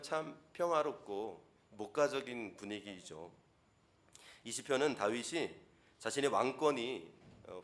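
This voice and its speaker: A middle-aged man preaching a Sunday sermon as a pastor, gentle and conversational with softly polite phrasing. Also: medium pitch. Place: church sanctuary.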